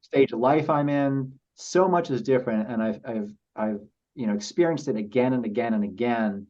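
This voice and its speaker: A man speaking as a podcast guest, telling personal stories in a halting, reflective and earnest way. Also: medium pitch, darkish tone. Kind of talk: casual interview storytelling. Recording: slightly dull lo-fi audio.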